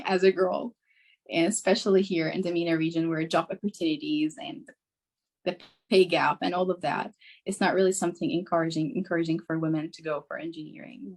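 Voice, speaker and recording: A young woman with Arabic as her first language, from Syria, speaking as a guest engineer on a video call in an earnest, eager way. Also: lowish voice. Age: young adult. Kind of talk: casual conversational answer.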